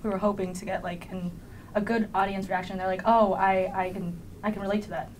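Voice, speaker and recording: A woman's voice: medium pitch, flat delivery, fairly quick, darkish tone, rough recording. A young woman student actor speaking casually as an interview guest. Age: teens to young adult, high school student. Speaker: student cast member being interviewed. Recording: faint background room noise.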